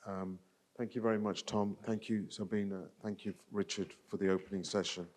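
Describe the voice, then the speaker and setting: A man with a low voice thanks the speakers and sets the schedule for a symposium audience. He keeps it brisk and businesslike. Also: level tone, heavy background noise. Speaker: session organizer. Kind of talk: announcements.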